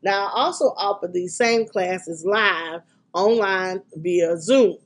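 A middle-aged woman hosting a podcast, talking in a chatty, excitable way with frequent ums, her voice congested from a cold. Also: lowish voice, takes it slowly. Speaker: podcast and radio host. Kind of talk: casual podcast chat.

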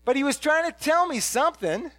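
A blunt, ordinary middle-aged fisherman apostle, played by a man speaks in a rather high voice to a congregation. He rambles and stumbles over restarts in a casual, excitable way. The sound is dry and close. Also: a little fast, dry recording, exaggerated voice. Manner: excitable, blurting. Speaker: dramatic first-person preacher. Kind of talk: first-person biblical monologue to a congregation.

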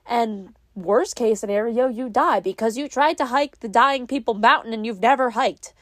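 A woman's voice: on the high side, normal speed, dry recording. Stuffy-nosed young woman rambling cheerfully. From New England, US. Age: young adult. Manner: rambling, chatty, self-mocking. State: stuffy with a cold. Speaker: podcast host. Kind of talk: casual solo podcast chat.

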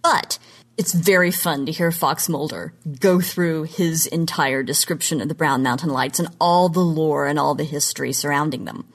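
A woman's voice: deep voice, moderate pace. A woman presenting a scripted podcast segment on genre history in an articulate, emphatic, lecture-like manner.

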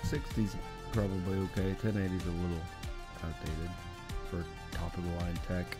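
Lower-voiced man with dry teasing. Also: fairly even intonation, moderate pace, over music. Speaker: co-host, brother of the host. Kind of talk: casual livestream chat.

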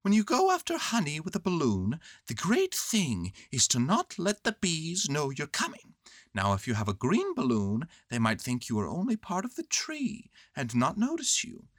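A woman voicing an earnest, scheming, silly male toy bear from a children's storybook, with excitable, self-important pronouncements. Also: low voice, normal speed, dry recording, studio quality, pitched above the performer's own voice, full character voice. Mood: earnest pondering.

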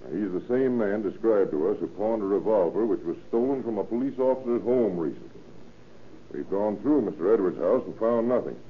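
Man playing old radio detective, real low american voice, matter-of-fact questioning, muffled crackly sound. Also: lively intonation, brisk, natural voice. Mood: probing, matter-of-fact. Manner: matter-of-fact, mildly patronizing. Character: steady, probing police inspector questioning a suspect. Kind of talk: 1930s radio acting. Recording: muffled, faintly noisy 1930s radio recording.

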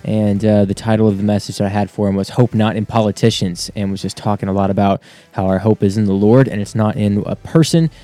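A man's voice: fairly low pitch, fairly even intonation, quick pace, mellow. A young man introducing a podcast episode in an upbeat, earnest way. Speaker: podcast host.

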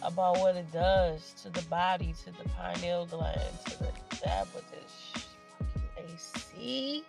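A stuffy-nosed podcast host rambles on in loose, profane, joking talk while sitting in a parked car at night. The voice sits at a middling pitch. Music plays clearly behind the speech throughout. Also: measured pace. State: stuffy nose. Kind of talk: casual solo podcast monologue.

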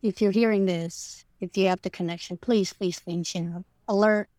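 A woman's voice: medium pitch, fairly even intonation, normal speed, darkish tone, dry recording. Chatty woman, Indonesian native speaker. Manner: chatty, laughs along, switches languages. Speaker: podcast guest, researcher. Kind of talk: casual chat.

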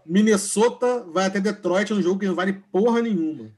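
A man's voice: sits high, fairly quick, darkish tone, a little hiss or hum. A Brazilian man hosting a casual sports podcast, blunt and joking as he moderates. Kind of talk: casual moderating and game chat.

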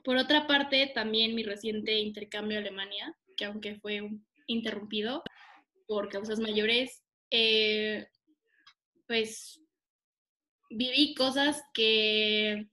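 A woman's voice: medium pitch, fairly even intonation, slow pace, pushed and bright. A young woman from Mexico co-hosting a casual podcast, giggly and hesitant with many fillers, over a remote call. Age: young adult. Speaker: podcast co-host. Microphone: remote call recording.

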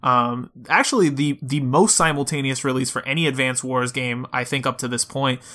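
A man's voice: medium pitch, moderate pace, bright, forceful tone. A man co-hosting a podcast, talking informally about video games in a casual discussion. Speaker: podcast co-host.

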